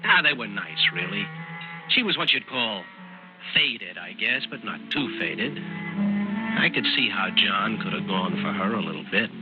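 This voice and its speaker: An actor playing a sly, calculating young American man who puts on boyish grief, talking in a folksy, halting, insinuating way in a radio drama. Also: lowish voice, very animated. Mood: sly, confiding. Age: young adult. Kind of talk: mid-century radio drama acting, dialogue and first-person narration. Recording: dull vintage radio recording, music underneath.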